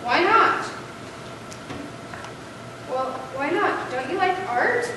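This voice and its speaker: Strict woman teacher, acted by a young female pupil, high voice, impatient stage delivery, distant noisy recording. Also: expressive, drawn-out words, exaggerated voice. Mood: questioning. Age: young adult or younger, school pupil. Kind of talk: school readers' theater performance. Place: large echoey room, distant microphone. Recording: very echoey hall from far off, noisy.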